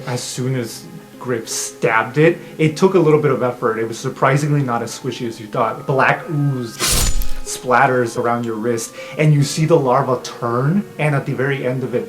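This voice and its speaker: An animated game master improvises descriptive narration for a tabletop fantasy game. The voice is middling in pitch, with music clearly playing underneath. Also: normal speed.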